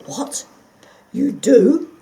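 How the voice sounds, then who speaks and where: Woman as an emphatic, excitable storybook guard dog. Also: fairly high pitch, very slow, creaky, pitched above the performer's own voice, full character voice. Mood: eager, pleading. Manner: emphatic, eager, plaintive. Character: gullible, anxious male guard dog, loud and pleading.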